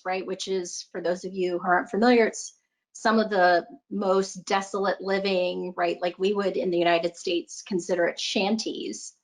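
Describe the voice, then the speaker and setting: A woman giving a conversational motivational talk as a coach, earnest and emphatic, repeating phrases for emphasis and often checking in with her listeners. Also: mid-range voice. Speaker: money mindset coach presenting a talk.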